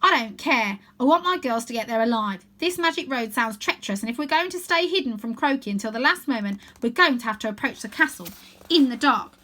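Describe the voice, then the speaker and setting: Storybook girl chief, acted by a woman: fierce, scornful, curt, raised bright voice giving orders. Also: a little high, animated, brisk, voice pushed up. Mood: firm, blunt. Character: bossy, fierce young girl chief of a wild gang. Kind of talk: children's storybook character voice.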